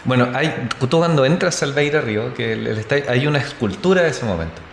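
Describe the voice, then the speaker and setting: A man's voice: mid-range voice, lively intonation, fast, creaky. A man with a Chilean accent, casually telling stories as a podcast guest, with frequent restarts. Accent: Chilean Spanish. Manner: storytelling, many restarts and fillers. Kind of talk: casual chat. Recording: echoey room with faint noise.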